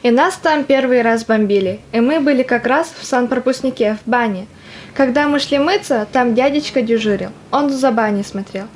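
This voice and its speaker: A woman's voice: a little high, normal speed, darkish tone, natural voice. A woman in an audio play acting a young, stubborn woman soldier who tells of the war in plain words. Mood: earnest recollection. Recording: faint background noise and ambience.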